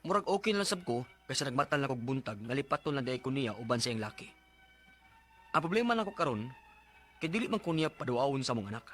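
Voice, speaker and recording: A man's voice: mid-range voice, very animated, fast, a bit bright, faint music behind. A man acting an exasperated, short-tempered young father in a radio drama, with a Cebuano Filipino accent. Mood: aggrieved.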